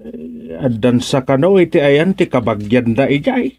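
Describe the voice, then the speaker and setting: A man's voice: on the high side, lively intonation, a little slow, creaky, darkish tone, husky, no room sound, heavily acted. An actor playing a gossipy, jovial village councilman with an Ilocano accent in a radio drama comedy. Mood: concerned.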